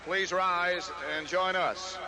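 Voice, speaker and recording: Play-by-play announcer, male, calm and measured, high-ish voice over heavy crowd noise. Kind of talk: live sports commentary.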